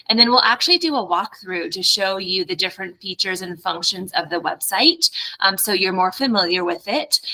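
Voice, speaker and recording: Bright upbeat woman opening a webinar. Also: mid-range voice, rough recording. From Ohio, US. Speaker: company president hosting a webinar. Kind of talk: webinar introduction. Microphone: video call webcam.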